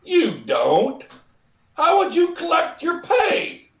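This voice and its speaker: A man's voice: very high-pitched, wildly swooping pitch, takes it slowly, a bit bright, roomy sound, dull audio, heavily acted. A man playing a dismissive, blustering middle-aged company boss in an old-time radio comedy, speaking emphatically.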